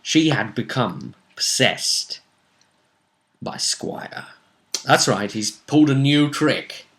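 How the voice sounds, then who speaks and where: A man's voice: medium pitch, expressive, slow pace, fairly bright tone, slight background noise. A man chatting casually as game master, recapping a tabletop role-playing session and often trailing off mid-sentence.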